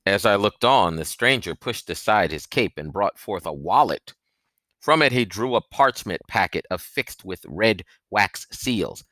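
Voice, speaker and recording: Guy reading an audiobook, deep bright voice, dramatic and suspenseful, really dry recording. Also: unhurried, no room sound. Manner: expressive, suspenseful. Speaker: audiobook narrator. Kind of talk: dramatic storybook narration.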